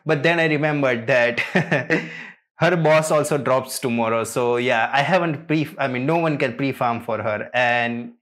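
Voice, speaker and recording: A man's voice: medium pitch, measured pace, a bit bright. A man hosting a reaction video, commenting casually in a hesitant, trailing way.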